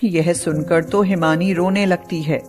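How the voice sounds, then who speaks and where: A woman's voice: lowish voice, fairly even intonation, measured pace, darkish tone, soft background music. A woman narrator reading a scripted dramatic family story.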